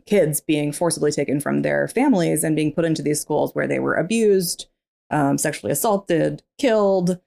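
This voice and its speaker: Low, gravelly, mellow-toned woman; candid podcast co-host who laughs easily. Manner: laughs often, animated and candid. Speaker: former teacher. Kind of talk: casual book discussion.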